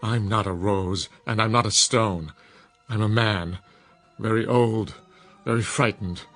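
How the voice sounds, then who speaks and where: The elderly doubter in a suspense radio play, a frightened man of science insistently challenging an impossible story over soft music. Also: fairly low pitch, a little slow. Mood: frightened, defiant. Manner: incredulous, insistent. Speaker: actor in a radio drama. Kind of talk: radio drama acting.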